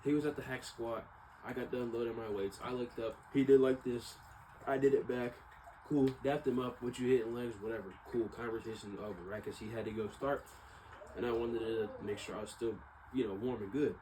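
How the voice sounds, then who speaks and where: A man's voice: medium pitch, steady tone, brisk, warm tone, slight background noise, poor audio. A young man with an American accent, talking casually and reflectively in a rambling personal monologue, often repeating himself. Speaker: vlogger.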